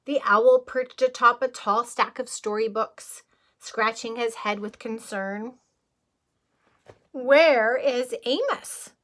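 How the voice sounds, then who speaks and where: A woman teacher reading a picture book aloud to young children, expressive, with casual asides and questions to the listeners. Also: a little high, measured pace. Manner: expressive, addresses children with questions and simple explanations.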